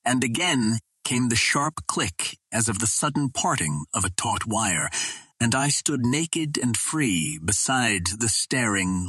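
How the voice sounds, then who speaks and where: A man reading an old adventure novel as an audiobook narrator, in a measured, dramatic storytelling manner. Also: medium pitch, fairly bright tone, breathy edge, dry recording.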